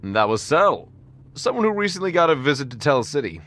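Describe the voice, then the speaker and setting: Lively, swooping radio interviewer. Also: male voice, fairly high pitch, fast. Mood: curious, presenting. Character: inquisitive radio show interviewer. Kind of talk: radio interview in an audio drama.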